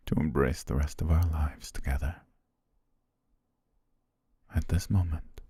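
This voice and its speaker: A man playing a possessive, lovestruck butler in an ASMR romance roleplay, speaking formally in a hushed, breathy voice. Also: extremely low pitch, no echo, exaggerated voice. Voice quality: husky, breathy, near-whisper. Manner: formal, ornate, hushed diction.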